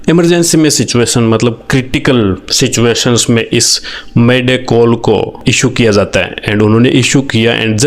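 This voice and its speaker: Slow-paced Indian man narrating over music. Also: mid-range voice. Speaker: video narrator explaining a news event. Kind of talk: explanatory commentary, semi-scripted, mixing Hindi and English.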